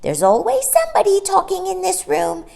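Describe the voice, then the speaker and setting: A woman voicing a shrill, pompous, chattering male starling in a storybook reading. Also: wildly swooping pitch, moderate pace, vocal fry, warm tone, exaggerated voice. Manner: pompous and wheedling.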